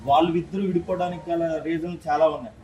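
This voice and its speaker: Male reporter, rapid-fire questioning, echoey room, music behind. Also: fairly high pitch, steady tone, darkish tone, highs cut off. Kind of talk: press questioning.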